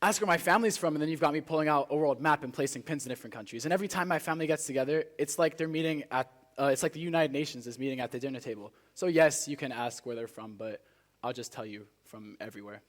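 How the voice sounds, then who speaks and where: A teenage man raised in Spain delivers a rehearsed speech to a live audience as a student contestant, with earnest, deliberate, rhetorical phrasing. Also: on the high side. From Spain, born in South Africa. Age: teenager, high school student.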